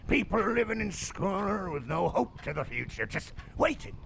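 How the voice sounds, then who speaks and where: A man's voice: sits high, very animated, moderate pace, noisy background, exaggerated voice. An actor playing a drunk old seaman who spins grim tavern tales in a theatrical, ominous manner. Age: older. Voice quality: gravelly, creaky. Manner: theatrical, ominous, salty old-sailor phrasing. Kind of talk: theatrical horror audio drama.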